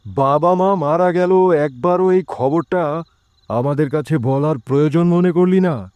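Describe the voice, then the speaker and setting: A menacing old ghost uncle acted by a man for a horror cartoon. His voice is fairly high and dark, slow and stern, with faint music behind. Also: clean tone, no echo, lightly acted. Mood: angry reproach. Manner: stern, menacing, drawn-out delivery.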